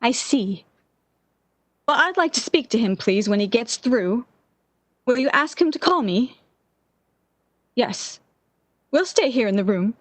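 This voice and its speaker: A woman playing a distraught, obsessive lovesick woman in a 1940s-style radio drama, with an intense, halting, theatrical delivery. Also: on the high side, lively intonation, no echo. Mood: anxious.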